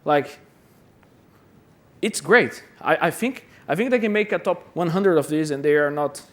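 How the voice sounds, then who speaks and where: A man's voice: fairly high pitch, normal speed, fairly bright tone. Chatty Brazilian man giving a talk. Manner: chatty, self-deprecating, repeats words. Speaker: conference speaker. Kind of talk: conference talk. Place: conference hall.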